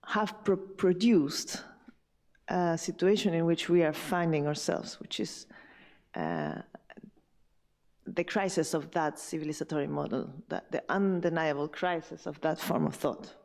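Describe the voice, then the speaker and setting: Woman panelist, low gravelly voice, halting, thinks aloud with fillers. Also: lively intonation, a little mellow. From Chile. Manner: thoughtful, halting, many fillers and restarts. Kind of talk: round table discussion.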